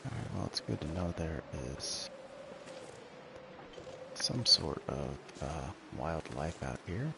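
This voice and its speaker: A laid-back man with a gravelly, soothing smoker's voice, giving casual commentary on a survival game as he plays. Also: a bass voice, big pitch swings, slow, long pauses, over music. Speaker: game streamer. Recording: clearly noisy background.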